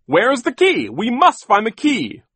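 A man's voice: medium pitch, expressive, moderate pace, a bit bright, breathy edge, no echo. A man voicing a blustering, pompous middle-aged banker guardian in an audiobook, indignant, officious and exclaiming. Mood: indignant shouting. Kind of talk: audiobook character voice.

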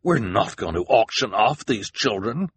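A man voicing a mild, gentle husband who speaks politely and hesitantly. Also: fairly low pitch, very animated, unhurried, slightly rough, no room sound, voice pushed up, exaggerated voice.

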